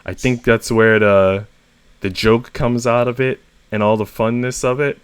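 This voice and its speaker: A man reading first-person short stories aloud as host, with expressive emphasis on the narrator's exasperation. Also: medium pitch, measured pace, clean tone, no room sound. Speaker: story reader and host.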